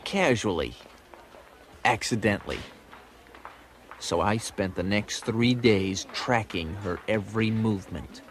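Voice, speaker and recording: A man's voice: lowish voice, measured pace, long pauses, a little hiss or hum, close to an everyday voice. An American actor playing a grown man who narrates memories of his boyhood crush in a wry, nostalgic, dramatic way. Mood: earnest, wry. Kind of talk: scripted first-person narration.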